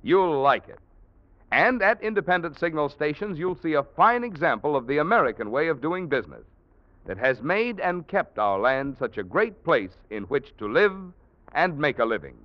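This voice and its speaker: A man's voice: on the high side, big pitch swings, moderate pace, no room sound. A man working as a radio announcer, reading scripted copy in a polished, dramatic 1940s style. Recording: muffled vintage radio recording. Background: music.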